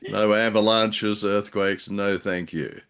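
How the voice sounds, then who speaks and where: A man's voice: lowish voice, fairly even intonation, takes it slowly, a bit bright, smooth. A man in his sixties hosting a casual review chat, jokey and wordy, on a video call. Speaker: show host. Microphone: video call, wearing headphones.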